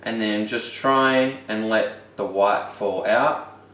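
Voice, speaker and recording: A man's voice: fairly low pitch, takes it slowly, fairly bright tone, reverberant. A man with an Australian accent hosting a casual cooking show in a playful, wry way with little puns. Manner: playful, wry, pun-making. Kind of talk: jokey casual cooking presentation.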